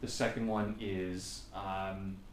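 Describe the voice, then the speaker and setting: A man hosting a podcast, chatting casually with quick interjections and short laughs. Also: low-pitched, level tone. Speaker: podcast host. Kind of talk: casual conversation. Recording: echoey room with faint noise.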